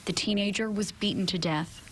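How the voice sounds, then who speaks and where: A woman's voice: medium pitch, steady tone, fairly quick, slight background noise. A woman with an American accent reading scripted news narration as a television reporter.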